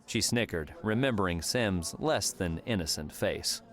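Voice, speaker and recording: A man narrating a novel as an audiobook, in a measured storytelling manner. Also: sits low, lively intonation. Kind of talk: scripted fiction narration.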